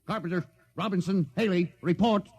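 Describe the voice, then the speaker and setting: A man's voice: sits high, moderate pace, creaky, a little mellow, husky, exaggerated voice. A man playing a desperate, embattled starship captain in an old radio sci-fi drama, with urgent, emotional command. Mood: frantic, shouting. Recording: noisy old radio recording.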